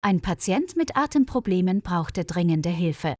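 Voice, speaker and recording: Lively dry-voiced woman narrator. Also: dry recording. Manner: clear, measured reading with quoted dialogue lifted higher. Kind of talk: scripted story narration for German learners.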